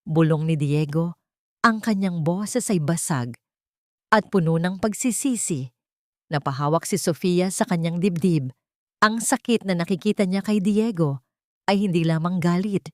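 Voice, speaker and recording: A woman narrating a scripted dramatic story in a measured, solemn manner. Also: fairly low pitch, no echo, studio quality.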